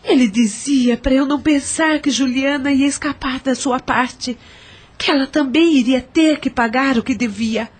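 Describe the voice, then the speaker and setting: A woman's voice: sits high, normal speed. A Brazilian woman playing a troubled, regretful estranged mother in an audio drama. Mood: troubled, remorseful.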